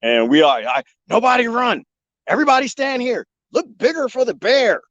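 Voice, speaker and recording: A man live streaming from the desert, joking and rambling in a loose, animated way. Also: very high voice, moderate pace, no echo, highs cut off. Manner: animated, joking, imitates bird chirps. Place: outdoors in the desert.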